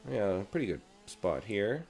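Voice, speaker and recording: A young man with a cold and a raspy throat, casually talking through a video game as he plays. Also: on the low side, a little slow, a little hiss or hum. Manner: relaxed, chatty, talks while playing. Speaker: gaming channel host. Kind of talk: casual gameplay commentary.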